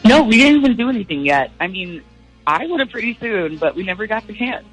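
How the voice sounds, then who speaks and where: A woman's voice: mid-range voice, very animated, quick pace, breathy edge, soft background music, low-quality recording. A young woman calling a radio show, telling her story in a casual, chatty way. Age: young adult. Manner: chatty, uses "like" often.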